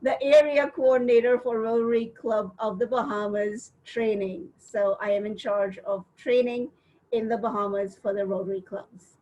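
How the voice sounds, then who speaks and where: A middle-aged woman from India, a professor, talking on a video call about her community work in a measured, steady way. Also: on the high side, muffled recording. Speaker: interview guest, professor. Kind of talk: casual video-call interview.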